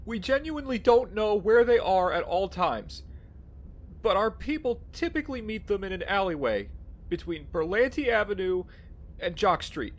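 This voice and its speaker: Man acting a high-voiced, edgy crooked bookkeeper. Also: big pitch swings, normal speed. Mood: panicked. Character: nervous married bookkeeper of a drug racket. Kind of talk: audio drama acting.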